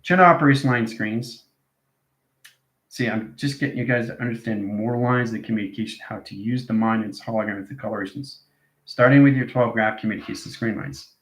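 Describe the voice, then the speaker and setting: The recording is noticeably echoey around a man with a fairly low voice. He teaches in an improvised esoteric monologue. His phrases come as incantatory strings of technical-sounding terms. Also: fairly even intonation, fairly quick, a bit bright. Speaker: instructor, channel presenter. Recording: echoey, reverberant room sound.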